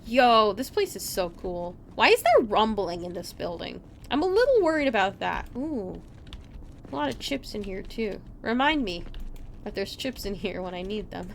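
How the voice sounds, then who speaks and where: A woman's voice: medium pitch, very animated, normal speed, gravelly, a little hiss or hum. A woman streaming a video game live with casual, chatty commentary, often muttering to herself and to game characters.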